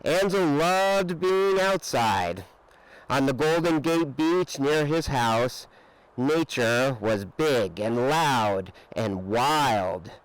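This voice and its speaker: A man reading a children's picture book aloud with expressive, dramatic emphasis and drawn-out words. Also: medium pitch, clean tone, no echo, low-quality recording. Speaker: storybook reader.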